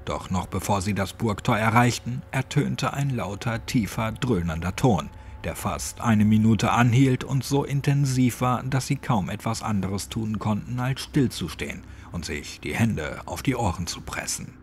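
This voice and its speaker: A man's voice: fairly low pitch, expressive, moderate pace, few pauses, slight background noise. A man narrating a scripted horror story as an audiobook. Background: music.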